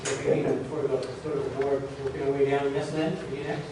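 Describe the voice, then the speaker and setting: A man chairing a public meeting, briskly calling on board members in turn. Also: mid-range voice, little pitch movement. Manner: brisk, directing the order of speakers. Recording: echoey hall from far off, clear noise.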